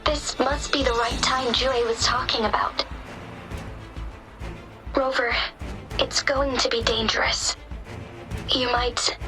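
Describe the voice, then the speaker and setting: A stately young female magistrate from a video game, with a fairly high voice. She is formal, measured and gracious, with a strong sense of duty. Prominent music plays behind her. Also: steady tone. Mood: solemn, resolute.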